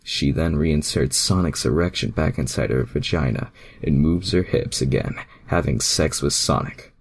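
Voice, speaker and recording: Very deep, gravelly man reading aloud. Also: normal speed. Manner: stumbles and misreads words. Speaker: amateur reader of fan fiction. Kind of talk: casual read-aloud narration of fan fiction.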